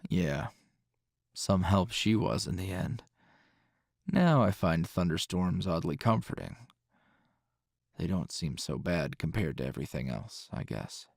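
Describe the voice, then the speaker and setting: A man playing a tender, teasing hero boyfriend in an intimate ASMR roleplay, soft-spoken with a husky, breathy voice and unhurried pauses. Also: low-pitched, lively intonation, no room sound, studio quality, heavily acted. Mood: wry, reminiscing. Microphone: close, dry microphone.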